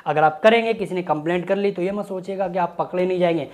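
A man's voice: high-pitched, fast, a little hiss or hum. Chatty young man reviewing apps. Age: 20s. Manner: chatty, joking, colloquial asides. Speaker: YouTube tech host. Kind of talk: casual app review to camera. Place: small home studio room with desk. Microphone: lapel mic.

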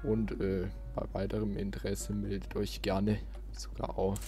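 A low, mellow male voice talks casually through a video game as the host. Faint music plays behind him. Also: monotone, measured pace. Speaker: let's player, channel host.

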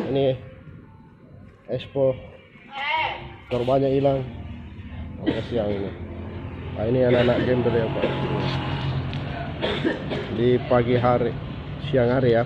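A man's voice: mid-range voice, flat delivery, unhurried, pauses often. A middle-aged man vlogging outdoors on a handheld phone, chatting casually and calling his viewers "guys" again and again. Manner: chatty, keeps saying "guys". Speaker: vlogger filming a fair site. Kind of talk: handheld casual vlog narration. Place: outdoors, open pavilion by a fairground. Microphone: phone camera held in hand. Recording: muffled phone audio with outdoor noise.

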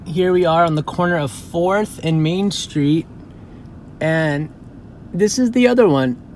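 A man's voice: on the high side, measured pace, darkish tone. Older man, chatty, musing, outdoor vlog, traffic noise. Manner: chatty, musing, self-interrupting. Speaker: vlogger. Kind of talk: casual walking vlog. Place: outdoors on a city street.

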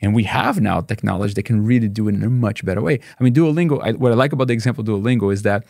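A man in his forties, a native Portuguese speaker with a Brazilian accent, talking fast as a guest in an interview, restarting and repeating words. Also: on the low side, vocal fry, dark tone, no room sound, clean studio sound. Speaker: statistics professor, guest. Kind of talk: casual interview conversation. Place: dark podcast studio. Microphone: studio mic on boom arm, headphones.

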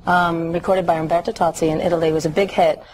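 A woman's voice: fairly low pitch, level tone, fast, a little mellow, low-quality recording. A woman in her thirties, a singer, answering interview questions on a TV studio set, laughing easily and thinking aloud. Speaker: singer, guest. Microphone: lapel mic. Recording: faint hiss, old TV tape.

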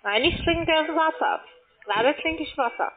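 High-pitched woman on the phone. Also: lively intonation, unhurried, slight background noise. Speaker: call centre sales agent. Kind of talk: unscripted sales phone call. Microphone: telephone. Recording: muffled tinny phone line.

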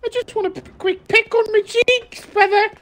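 Very high, whiny, needy oddball. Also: female voice, fairly even intonation, moderate pace, fairly bright tone. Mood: pleading. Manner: whiny, wheedling, calls others brother. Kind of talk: in-character role-play in an online game. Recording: noisy game voice chat with clicks.

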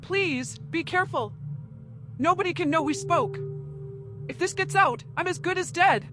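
A woman's voice: very high-pitched, expressive, a little slow. Nervous lady client in a noir game, subdued and careful, music under it. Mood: fearful. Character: anxious, reserved female animal client of a detective. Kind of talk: noir video game voice acting.

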